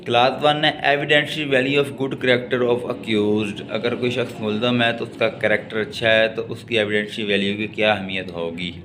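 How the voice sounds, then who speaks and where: A man with a Pakistani accent giving a law lecture, reading out legal text and explaining it in a deliberate, halting way. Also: lowish voice, background noise.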